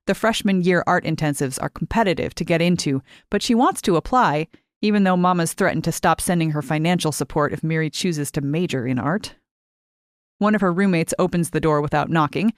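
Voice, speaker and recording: A woman with a slightly low voice narrates fiction in a very dry recording. Her reading is expressive and scripted. She phrases deliberately, leaving dramatic pauses. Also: no echo. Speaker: short fiction reader.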